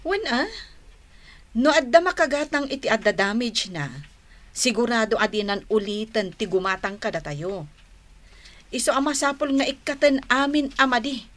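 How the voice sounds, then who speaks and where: A woman with a Filipino accent playing an exacting, conscientious market stall owner who instructs briskly in a radio drama. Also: on the high side, lively intonation, a bit bright. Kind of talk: Ilocano radio drama acting.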